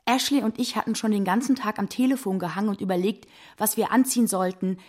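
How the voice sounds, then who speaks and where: A woman's voice: mid-range voice, fast talker, darkish tone, lightly acted. An actress playing a brash, cool teenage party girl, telling things matter-of-factly in a radio-play monologue. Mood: casual anticipation.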